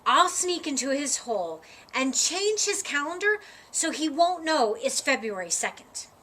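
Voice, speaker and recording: A woman's voice: high voice, sing-song, measured pace, pushed and bright, full character voice. A woman voicing a chattering, eager little squirrel in a children's storybook, keen and emphatic.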